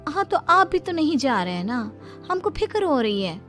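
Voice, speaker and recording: A woman's voice: fairly high pitch, moderate pace, over music, close to an everyday voice. A woman voicing a dutiful young daughter-in-law, polite, earnest and anxious, in a dramatized story. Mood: worried. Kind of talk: dramatized Hindi moral story.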